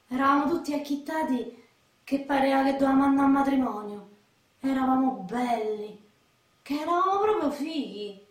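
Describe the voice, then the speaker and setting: A defiant young Roman woman, played by an actress, with a high voice and a brash, combative way of talking. She speaks broad street slang in a radio play. The sound is echoey and a little distant from the microphone. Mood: boastful, excited. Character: defiant, foul-mouthed young woman from Rome's outskirts. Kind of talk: radio drama acting. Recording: roomy echo, voice off the mic.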